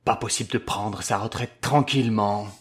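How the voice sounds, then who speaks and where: A man's voice: fairly low pitch, steady tone, moderate pace, very noisy, close to an everyday voice. A man playing a world-weary villain, exasperated and drily sarcastic, in a comic audio drama. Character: grumbling, sarcastic, world-weary male villain wanting quiet retirement.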